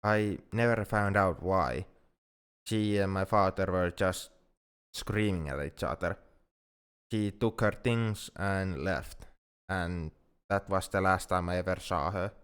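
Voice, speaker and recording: A man as a hesitant, smooth-voiced shy alien. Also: low voice, fairly bright tone. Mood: wistful, sad. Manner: hesitant, halting, earnest. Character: shy, flustered, self-blaming young male alien confessing feelings. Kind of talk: ASMR roleplay audio drama.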